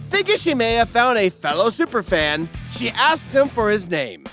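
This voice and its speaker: Wildly animated comedic male narrator. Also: extremely high pitch, moderate pace. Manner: exaggerated, mock-dramatic, wry. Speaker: narrator of an anime parody recap. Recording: music behind the voice.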